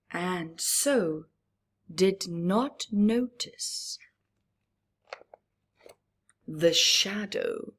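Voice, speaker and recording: A woman's voice: mid-range voice, a bit bright. A woman reading a rhyming picture book aloud at story time, stopping to chat with young listeners and dropping into whispers. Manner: slow rhyming read-aloud with chatty asides to young listeners; drops to breathy whispers. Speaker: storybook reader.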